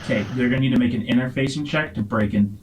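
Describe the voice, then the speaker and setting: A man's voice: medium pitch, fast talker, background noise. A man working as game master, improvising narration for a science-fiction tabletop game.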